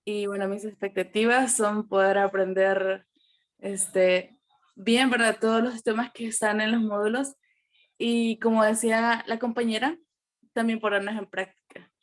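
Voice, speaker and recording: A woman's voice: medium pitch, steady tone, measured pace. A woman, introducing herself casually and a bit hesitantly on a video call. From El Salvador. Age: 20s. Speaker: workshop participant.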